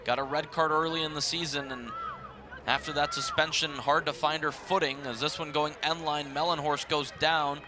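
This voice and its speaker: A man's voice: on the high side, little pitch movement, moderate pace. A man calling live sports play-by-play in a live commentary style. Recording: noisy live stadium broadcast. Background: stadium ambience.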